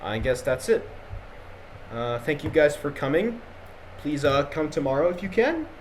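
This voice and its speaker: A man's voice: fairly high pitch, animated, unhurried, a little hiss or hum. A young man from Jordan with an American accent, rambling casually as a student lecturer on an online voice call. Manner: chatty, rambling, with many fillers and restarts. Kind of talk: casual online review session. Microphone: computer mic.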